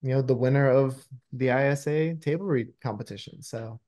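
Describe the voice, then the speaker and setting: A man's voice: medium pitch, fairly quick, warm tone. A man speaking conversationally as a screenwriter being interviewed over a video call from a room indoors. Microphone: video call microphone.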